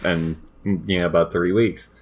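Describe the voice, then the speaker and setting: A man co-hosting a casual gaming chat in a low-key, laid-back manner. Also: fairly even intonation, measured pace. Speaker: podcast co-host.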